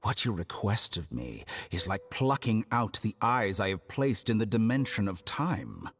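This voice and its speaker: An arrogant scholar villain for a video game, with a low voice that swings expressively through measured, unhurried phrases. He sounds coolly amused, formal and condescending. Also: very animated, a bit bright, exaggerated voice. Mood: intrigued, condescending. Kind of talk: theatrical video game voice acting.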